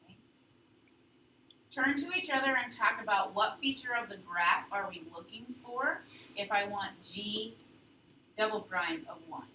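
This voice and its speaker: Echoey, bright-voiced woman teaching a class. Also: mid-range voice, measured pace, pauses often. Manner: instructive, checks understanding often with short prompts. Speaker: high school calculus teacher. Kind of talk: classroom teaching with questions to students. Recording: echoey classroom, distant mic, faint noise.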